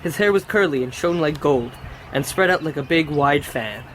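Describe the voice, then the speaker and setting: A young man with a slightly raised voice reads an old comic tale aloud in an amateur, deadpan manner. His delivery is plain and a little awkward, and faint hiss sits under the recording. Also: on the high side, moderate pace, low-quality recording. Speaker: storyteller reading the tale aloud.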